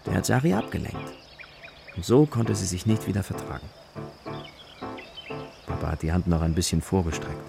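A man narrating a German children's radio play as its storyteller, in short, measured storybook phrases. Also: deep voice, lively intonation, gravelly, music in the background, heavily acted. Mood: reflective. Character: male storyteller of a children's forest adventure.

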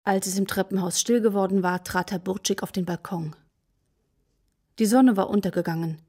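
A woman's voice: medium pitch, steady tone, moderate pace, mellow. Woman narrating a children's story. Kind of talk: scripted storybook narration for a children's radio play.

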